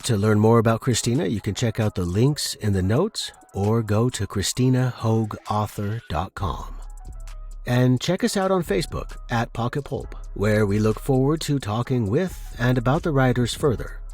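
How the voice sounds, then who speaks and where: A man's voice: mid-range voice, animated, normal speed, a little mellow, soft background music. A man narrating scripted fiction dramatically as a podcast host and storyteller.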